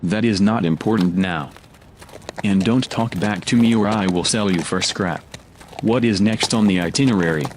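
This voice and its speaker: A man's voice: on the low side, moderate pace, natural voice. A man playing a curt, bad-tempered hero in a scripted audio drama. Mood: irritated, curt. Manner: grumbling, curt. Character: gruff, bad-tempered male hero on the run with robot. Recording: clear outdoor vehicle-like noise behind.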